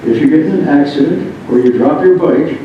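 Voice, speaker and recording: Man reading aloud in a very echoey room. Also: fairly quick. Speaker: reader of a memoir. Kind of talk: reading a written first-person narrative aloud. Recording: muffled, very echoey room, distant mic, rumbling noise.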